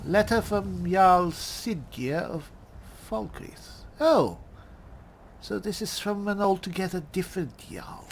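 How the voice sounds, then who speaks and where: Woman, in-character game commentary, very lively intonation, slow pace, theatrical, wry, faint noise and game ambience behind. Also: mid-range voice. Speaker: roleplaying game player, host.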